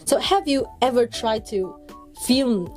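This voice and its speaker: A woman's voice: on the high side, a little slow, soft background music. A woman from China hosting a casual podcast chat, talking in a chatty way full of fillers and restarts. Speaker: podcast host.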